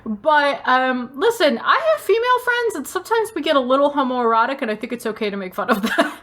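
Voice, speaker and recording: A woman co-hosting a podcast in casual, informal conversation, rambling along in an animated way. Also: high voice, a little fast. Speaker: podcast co-host. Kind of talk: casual chat about anime and stage plays.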